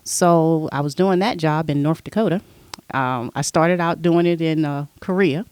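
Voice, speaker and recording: A middle-aged woman, a podcast guest, telling stories from her past in a relaxed, conversational way. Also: low-pitched, moderate pace. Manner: relaxed storyteller, recounting her past at length. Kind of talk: casual interview chat.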